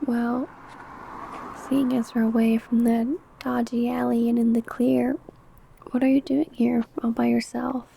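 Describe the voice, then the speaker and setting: A woman acts out an ancient, obsessive vampire woman in an ASMR roleplay. Her voice is fairly high yet mellow, soft and breathy, with a little creak in it. She hides a predator's hunger under a sweet, coaxing manner. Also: flat delivery, a little slow, a little hiss or hum. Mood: gently probing. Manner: soft, breathy, whispery murmuring.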